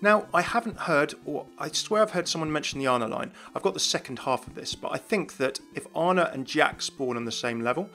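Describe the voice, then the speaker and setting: A man's voice: on the high side, animated, fairly quick, few pauses, sharp tone. A man hosting a gaming news video in scripted, explanatory voice-over narration. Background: music.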